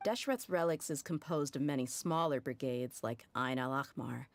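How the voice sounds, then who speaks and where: An actress playing a tough, blunt mercenary leader in a game cutscene, frank and guarded with casual slang. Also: female voice, a bass voice, moderate pace, no room sound.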